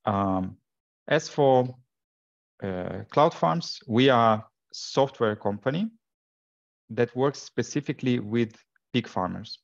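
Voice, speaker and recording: A man's voice: mid-range voice, expressive, takes it slowly, vocal fry, pauses often. A man from Ukraine, giving a product presentation in English with many fillers and false starts. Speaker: software sales and technical support presenter. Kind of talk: presentation at an info day. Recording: dull lo-fi recording.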